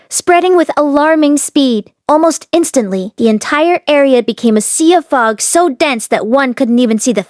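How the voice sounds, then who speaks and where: High-voiced woman reading an audiobook. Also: a little mellow, no echo, clean studio sound. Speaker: audiobook reader. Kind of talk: expressive scripted story narration.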